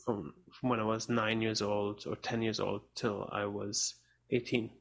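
Young male guest, German native, gravelly voice, thoughtful and hesitant, restarts a lot. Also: lowish voice, flat delivery. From Spain, raised in Portugal. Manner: reflective, halting with restarts. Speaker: interview guest, musician. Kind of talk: casual interview talk.

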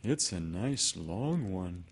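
A sweet-natured, earnest toy bear from a storybook, acted out by a man. He speaks slowly and simply, with a raised pitch that rises and falls a great deal. He is polite and naive in every phrase. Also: sing-song, a little mellow. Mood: polite, impressed. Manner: slow, earnest, simple-minded delivery. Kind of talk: storybook character voice in a read-aloud.

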